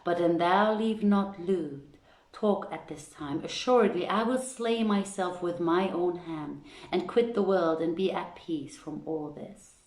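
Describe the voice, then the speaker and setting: A woman's voice: on the low side, measured pace, darkish tone, lightly acted. A woman voicing a proud, defiant young princess from an Arabian storybook tale, declaiming archaic lines emphatically in a roomy space. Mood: wrathful, defiant. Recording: roomy home livestream echo.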